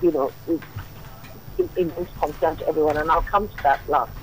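A woman, a dermatologist guest giving measured, explanatory answers on a remote line. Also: sits low, a little hiss or hum, poor audio. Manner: measured, explanatory, uses you know often.

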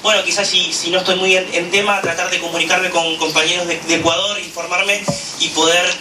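A man with an Argentine accent giving an impassioned political speech at a gathering, full of "eh" pauses. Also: high-pitched, flat delivery. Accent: Argentine Spanish. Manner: fervent, with frequent "eh" fillers. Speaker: activist speaker at a political gathering. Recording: echoey room, from a distance, noisy.

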